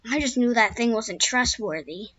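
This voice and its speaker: A woman voicing a young wild male horse, a skittish loner, telling his own story in first person with a squeaky voice. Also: lively intonation, moderate pace, a bit bright, dry recording, dull audio. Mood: breathless. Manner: first-person storytelling with restarts, turning frantic when scared. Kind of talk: amateur spoken story.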